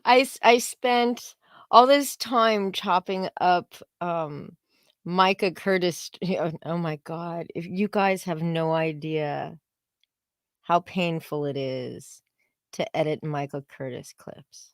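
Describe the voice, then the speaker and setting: A woman's voice: lowish voice, slow, dry recording, studio quality. A woman chatting casually as a show's co-host. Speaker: show co-host and editor. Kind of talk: casual chat.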